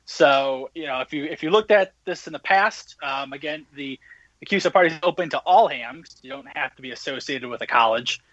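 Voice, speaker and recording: Young male guest explainer, fairly high bright voice, conversational, over a lo-fi connection. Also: a little fast, dry recording. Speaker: guest, event organizer.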